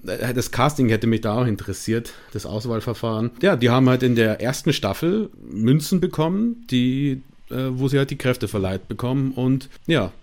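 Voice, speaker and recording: A man's voice: medium pitch, moderate pace, hardly any pauses, a little mellow. Male, German dialect speaker, eager rambling explainer, casual podcast guest. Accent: regional German dialect. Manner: enthusiastic, rambling with fillers and restarts.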